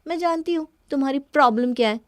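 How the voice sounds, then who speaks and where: A woman's voice: high voice, fast, no room sound, studio quality, lightly acted. A woman voicing a spoiled, defiant young wife in a cartoon story, arguing in a dismissive, indignant way. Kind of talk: Hindi cartoon story dialogue.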